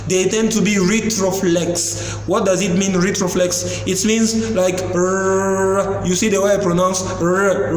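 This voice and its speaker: Male teacher, high-pitched, patient drills of each sound, recorded in a reverberant room. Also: a little hiss or hum. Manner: explains slowly, demonstrating sounds repeatedly. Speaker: English teacher. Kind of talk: pronunciation lesson.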